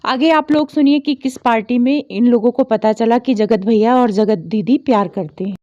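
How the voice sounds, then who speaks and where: A woman's voice: a little high, normal speed, mellow, dull audio. A woman vlogger giving gossip commentary, speaking directly to her viewers. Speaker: reaction vlogger presenting recordings.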